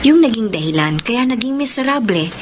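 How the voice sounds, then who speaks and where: A woman plays a young, kindly Filipino office confidante in a radio soap. Her voice is fairly high and swings expressively. She speaks earnestly, with clear noise in the recording. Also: expressive, a little fast, close to an everyday voice. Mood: confiding, sympathetic. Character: confiding, kindly younger female office colleague, boss's niece. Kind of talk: Philippine radio drama acting. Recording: clearly noisy background.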